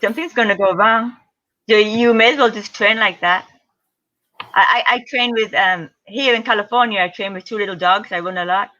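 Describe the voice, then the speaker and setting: A middle-aged woman tells stories as an interview guest, lively and acting out the people she quotes. Her voice is bright and forward. The audio is dull and lo-fi, like a remote call. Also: mid-range voice, normal speed. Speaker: guest ultra-distance triathlete. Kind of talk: casual interview storytelling.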